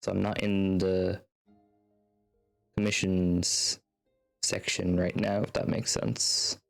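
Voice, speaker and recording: A man with a low voice and a noticeable fry chats casually on a game livestream. He rambles along in an easygoing way while game music plays faintly behind him. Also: level tone, takes it slowly. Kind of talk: casual livestream chat, reading game text aloud. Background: video game music.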